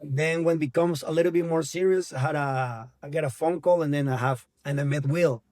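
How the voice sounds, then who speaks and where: A man in his thirties from Venezuela with a Venezuelan Spanish accent, Spanish as his first language, telling his story as an actor guest in an earnest, halting way. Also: on the high side, a little mellow. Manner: earnest, halting non-native English. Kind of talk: casual interview.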